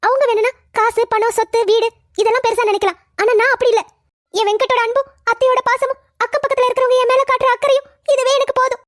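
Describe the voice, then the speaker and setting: A woman's voice: very high-pitched, flat delivery, fast, a bit bright. A woman playing a devoted young daughter-in-law, speaking earnestly and pleadingly in a melodramatic drama serial. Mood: earnest, reassuring.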